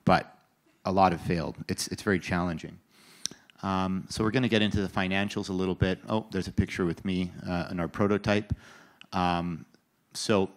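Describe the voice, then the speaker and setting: A man in his thirties giving a confident company presentation on a large conference stage into a handheld mic, with fillers and quick "right?" checks. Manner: confident pitch, fillers and restarts, asks "right?".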